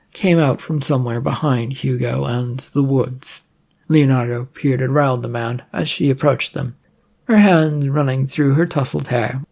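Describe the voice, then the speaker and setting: A narrator reading a scripted adventure story in a husky, gravelly voice. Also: mid-range voice, darkish tone. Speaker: audiobook-style narrator.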